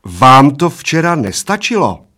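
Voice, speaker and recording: A man voicing an angry barmaid in a story, sharp, clipped and threatening. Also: on the high side, very animated, pitched above the performer's own voice, exaggerated voice. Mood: stern scolding. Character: stern angry barmaid scolding drunk old customers.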